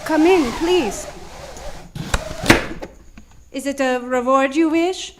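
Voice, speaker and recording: An actress playing a theatrical, overwrought femme fatale and clinging mistress in a live old-time radio drama. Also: female voice, high-pitched, slow pace, very noisy. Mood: haughty, wary. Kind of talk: live 1940s-style radio drama acting.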